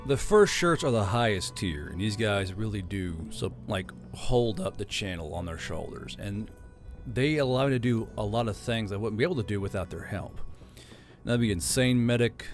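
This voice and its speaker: A man's voice: lowish voice, normal speed, soft background music. A man who reads his own stories on his channel, then talks casually in a rambling, joking, sometimes profane way. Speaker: storyteller and channel host. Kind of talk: audiobook narration and casual outro.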